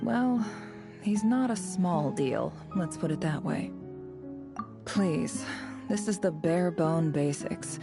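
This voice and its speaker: Cool secretive desert woman for a game, low slow voice, sardonic n superior, music behind her. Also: expressive, gravelly, heavily acted. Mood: wry, assured. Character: cool, ruthless, secretive desert-born woman with a bitter past. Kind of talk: scripted video game story acting.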